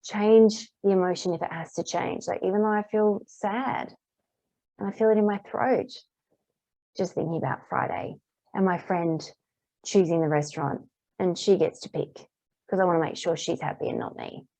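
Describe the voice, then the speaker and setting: A woman practitioner calmly guiding a tapping exercise in repeated phrases, her voice a little hoarse. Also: on the low side, normal speed, no room sound. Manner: calm, repetitive guiding phrases. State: slightly hoarse, clearing throat. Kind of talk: guided tapping lesson. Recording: dull, muffled dry recording.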